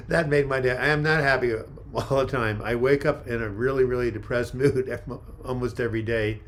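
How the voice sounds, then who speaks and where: An older man hosting a casual live chat, reading viewer comments in a calm, soft-spoken way with frequent restarts. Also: medium pitch, normal speed. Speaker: livestream host.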